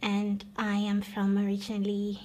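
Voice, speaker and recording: A young woman from the Democratic Republic of Congo telling her own life story in an earnest, measured way, pausing and restarting often. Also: mid-range voice, completely flat delivery, a little mellow. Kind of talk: personal testimony, recalled storytelling.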